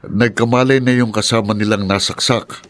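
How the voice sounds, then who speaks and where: A man's voice: fairly low pitch, steady tone, moderate pace, dry recording, lightly acted. A man with a Filipino accent playing a kindly, fatherly uncle in a radio drama. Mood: kindly, reassuring. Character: kindly fatherly uncle looking after a girl.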